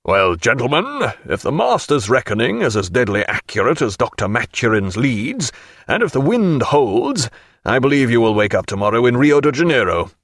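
A man's voice: on the low side, expressive, fast, gravelly, few pauses, fairly bright tone, no room sound, studio quality, exaggerated voice. A man acting a hearty, bluff sea captain. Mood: forced cheerfulness. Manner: hearty, factitiously cheerful.

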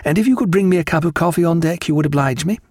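A composed, educated gentleman passenger, voiced by a male narrator, courteous and formal. The voice sits a little above an everyday male pitch, in a dry storybook recording. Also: rapid-fire, no room sound, close to an everyday voice.

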